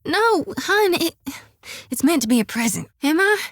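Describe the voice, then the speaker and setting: A woman's voice: very high voice, sing-song, normal speed, no room sound, clean studio sound. An actress voicing an earnest, devout young farm-raised woman priest, folksy and stubborn. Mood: flustered. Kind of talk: video game voice acting. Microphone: dry studio mic.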